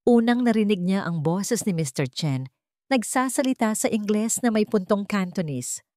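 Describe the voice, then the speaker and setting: A woman's voice: medium pitch, animated, normal speed, dark tone, no echo, clean studio sound. A woman narrating a scripted romance drama in a solemn, dramatic storytelling manner.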